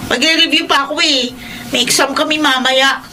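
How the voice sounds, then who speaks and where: A woman's voice: high-pitched, steady tone, normal speed, natural voice. An actress playing a whiny, pleading schoolgirl, a sulky youngest daughter, in a radio drama. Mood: wheedling. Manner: sulky, complaining, wheedling. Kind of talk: Filipino radio drama acting. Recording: clear background noise.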